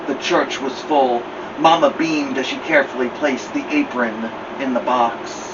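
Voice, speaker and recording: A man reading a children's picture book aloud as a storytime host, expressively, with drawn-out emphasis. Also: medium pitch, steady tone. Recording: muffled, clear background noise, slightly distant.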